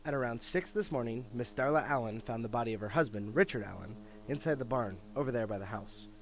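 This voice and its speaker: A man's voice: mid-range voice, fast, slight background noise, lightly acted. A young man playing a wry, grumbling detective in an audio drama, with a casual, emphatic delivery. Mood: wry, persuasive. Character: wry, grumbling male detective, former partner. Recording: dialogue over clear background music.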